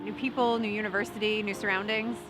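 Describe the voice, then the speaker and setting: A woman from New York talks about her exchange year in a lively, wry way. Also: medium pitch, quick pace. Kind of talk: interview testimonial. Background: music.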